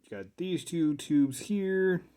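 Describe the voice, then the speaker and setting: A man's voice: a little high, lively intonation, takes it slowly, dark tone. A man giving casual, unscripted commentary as a hobbyist repairer, thinking aloud to himself as he works. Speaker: hobbyist repairer presenting a video.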